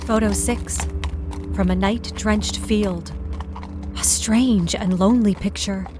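A woman playing a sober narrator who catalogues photographs in measured, clipped phrases for an audio drama. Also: mid-range voice, fairly even intonation, music in the background, lightly acted. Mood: somber, measured.

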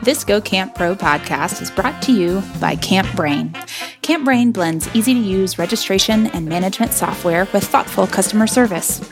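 A woman at a mid pitch reads a scripted sponsor message in a smooth advertising tone. Clear music plays beneath her the whole time. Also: expressive, normal speed. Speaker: sponsor announcer. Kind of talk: scripted ad read.

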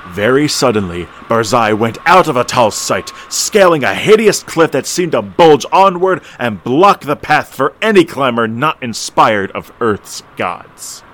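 A man narrating an eerie old tale as a solemn storyteller, in a measured, theatrical delivery. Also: mid-range voice, fairly bright tone, slight background noise, rough recording. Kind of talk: scripted horror story narration.

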